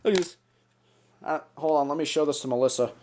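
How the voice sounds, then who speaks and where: A man giving an informal, excitable show-and-tell commentary, often interjecting as he goes. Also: fairly high pitch, quick pace, soft background music. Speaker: video host. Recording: clearly noisy home recording.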